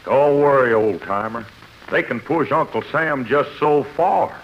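A man's voice: medium pitch, measured pace, natural voice. A man playing a plain-spoken, confident husband in a 1950s radio comedy. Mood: confident, easy. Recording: muffled, noisy old radio broadcast.

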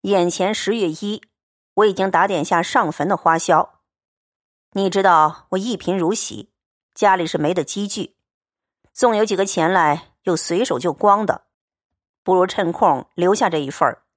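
A woman's voice: lowish voice, moderate pace, no echo, studio quality, close to an everyday voice. Liu Xianglian, a woman doing a cold young swordsman. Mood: cool, curt. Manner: curt, coldly sneering.

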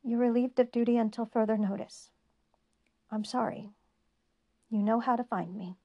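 A woman voicing a female counselor who speaks softly and slowly but firmly. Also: a little high, steady tone, lo-fi sound. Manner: soft, measured, firm.

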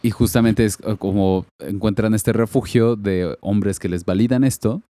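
Guy, low mellow voice, rambling explainer, dry close sound. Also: fairly even intonation, normal speed, no room sound. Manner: thinks aloud with many fillers and tag questions. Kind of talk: casual discussion.

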